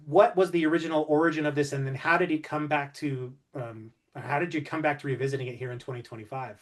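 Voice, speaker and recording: A man's voice: fairly high pitch, fairly even intonation, fast. A man hosting an interview in an enthusiastic, conversational style. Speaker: interview host.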